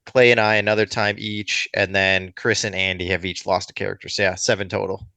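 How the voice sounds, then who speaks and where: A man's voice: low voice, flat delivery, a little fast, bright, forceful tone, no echo. A man guesting on a podcast as one of the game's players, eagerly counting off facts in casual chat.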